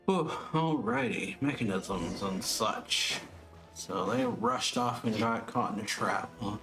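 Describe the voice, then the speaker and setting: A man hosting a let's play, giving low-key, rambling casual commentary with muttered interjections. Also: slow. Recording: loud game music and crashing effects behind. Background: game music and combat sounds.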